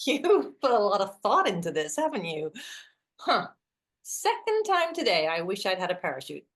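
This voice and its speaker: A woman's voice: medium pitch, huge pitch swings, moderate pace, a bit bright. A woman acting in a naturalistic drama as a wry, self-deprecating best friend who sighs, hesitates and teases. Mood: teasing.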